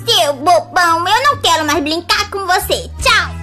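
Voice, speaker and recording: A woman's voice: very high voice, animated, normal speed, loud music behind the voice. A Brazilian woman voicing a mischievous little boy who teases and mimics, in a cartoon fandub style. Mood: mimicking. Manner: taunting, mimicking. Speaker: amateur fan dubber.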